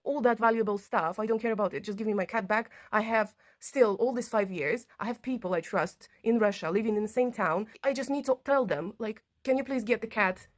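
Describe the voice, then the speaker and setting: A woman's voice: on the high side, fairly quick, few pauses. Woman telling her personal story. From Latvia. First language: not English. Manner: earnest, non-native phrasing. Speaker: vlogger telling own story. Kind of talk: personal account to camera, conversational.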